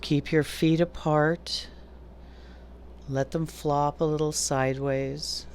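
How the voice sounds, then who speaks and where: A woman teaching yoga nidra, giving calm, deliberate guided meditation instructions with long pauses between phrases. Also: low voice, slight background noise.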